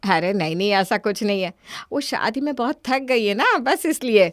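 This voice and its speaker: A woman's voice: mid-range voice, moderate pace, no echo, studio quality, lightly acted. A woman voicing a hospitable middle-aged mother-in-law in a cartoon story, coaxing and placating. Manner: coaxing, apologetic. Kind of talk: Hindi cartoon story dialogue.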